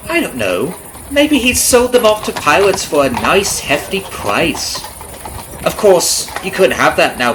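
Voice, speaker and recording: Vengeful male carriage driver, acted by a man, ASMR roleplay. Slightly high, very lively pitch; falsely friendly, taunting, menacing underneath. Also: background noise. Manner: taunting, conversational with rhetorical tag questions. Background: clip-clopping horse and carriage ambience.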